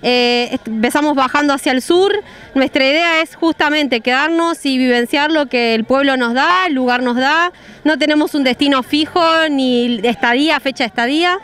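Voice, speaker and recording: A young woman with a Buenos Aires accent speaks in a high, bright register about life on the road. She explains things in a plain, upbeat way. She's outdoors by a van, talking into a handheld reporter mic. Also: moderate pace. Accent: Buenos Aires Spanish. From Monte Grande, Buenos Aires. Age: young adult. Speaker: interviewee, traveler. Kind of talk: street interview.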